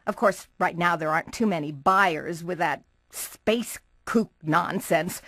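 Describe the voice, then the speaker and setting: Flamboyant, piercing, self-absorbed estate agent. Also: female voice, lowish voice, measured pace, a bit bright, no echo, clean studio sound. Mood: breezy. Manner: theatrical, affected, gushing.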